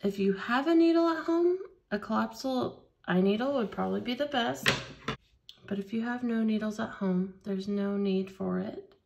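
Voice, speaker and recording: A woman leading a hands-on beading tutorial at a craft table, explaining each step slowly and patiently. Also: on the high side, creaky, a little mellow, highs cut off. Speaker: craft instructor.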